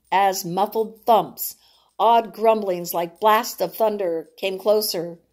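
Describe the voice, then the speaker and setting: A woman reading a novel aloud as its author, in a deliberate, dramatic narrating style, sometimes stumbling over words. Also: mid-range voice, a little mellow.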